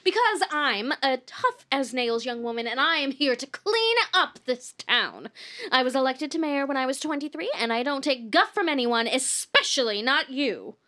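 A woman's voice: high-pitched, very animated, bright, forceful tone, full character voice. Woman playing a brash, swaggering young female mayor. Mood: cocky, menacing. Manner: swaggering, drawn-out delivery of boasts and catchphrases. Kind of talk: comic radio-play acting.

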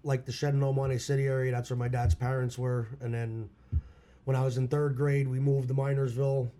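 A hoarse man from Pennsylvania, a local musician, telling stories about his past in a plain-spoken way. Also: medium pitch, flat delivery, brisk, mellow. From Schuylkill County, Pennsylvania. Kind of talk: casual interview chat.

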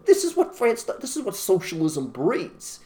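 A man's voice: high-pitched, wildly swooping pitch, moderate pace, a little mellow. A man co-hosting a casual talk show, ranting emphatically and mimicking people in put-on voices. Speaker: podcast co-host. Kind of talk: opinionated casual chat.

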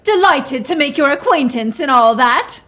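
A woman's voice: high voice, lively intonation, moderate pace, a bit bright. A woman playing an eager, imperious self-styled duchess in a radio play, mixing mock-regal formality with folksy talk.

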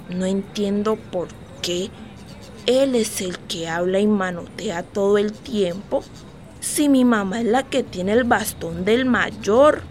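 A woman's voice: a little high, slight background noise. A woman playing an innocent, wondering eight-year-old girl who tells her story in simple, repetitive childlike words in a radio drama. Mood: puzzled, wondering.

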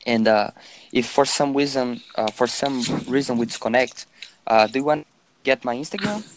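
A man's voice: medium pitch, normal speed, a little hiss or hum, poor audio. An eighteen-year-old man from Brazil whose first language is Portuguese, chatting casually and searching for words. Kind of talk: casual chat on a call.

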